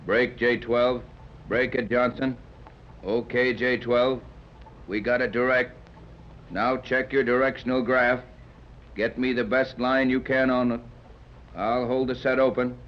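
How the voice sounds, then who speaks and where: A man acting a steady, capable sea captain in a 1930s radio serial. He explains and gives orders briskly, with natural authority, in a mid-pitched voice. The old recording is dull, with light noise. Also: natural voice. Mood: businesslike.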